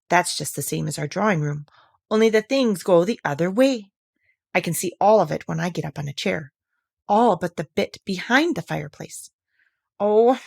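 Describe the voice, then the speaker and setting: A woman voicing a chatty, imaginative little girl in a storybook, animated and mock-stern as she talks to her kitten. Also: fairly low pitch, gravelly, dry recording, clean studio sound, full character voice. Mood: eager, chatty. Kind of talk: storybook character voice.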